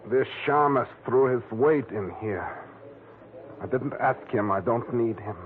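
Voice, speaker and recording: An actor playing a surly, guarded racketeer who talks in curt, clipped phrases in a 1940s radio drama. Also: male voice, medium pitch, measured pace, close to an everyday voice. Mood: tense, curt. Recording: muffled, hissy vintage radio recording.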